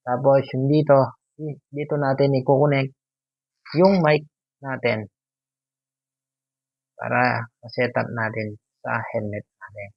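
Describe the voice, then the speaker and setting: Gravelly Filipino man, casual unboxing vlogger. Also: medium pitch, flat delivery, slow pace, pauses often, dry recording, highs cut off. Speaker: YouTube host, product affiliate. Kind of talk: casual unboxing vlog narration.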